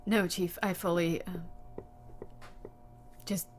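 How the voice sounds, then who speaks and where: A woman playing a heartbroken, deferential subordinate in an audio drama, halting and close to tears. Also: on the low side, fairly even intonation, a little hiss or hum. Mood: fragile, restrained. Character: condemned young female subordinate, fragile, heartbroken. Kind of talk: naturalistic audio drama acting.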